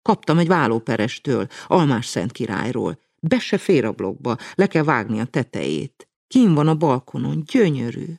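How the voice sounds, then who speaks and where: A man voicing a tired, flustered middle-aged mother who rambles apologetically in a high falsetto. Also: very animated, gravelly, hardly any pauses, darkish tone, no echo, studio quality. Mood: rambling apologetic.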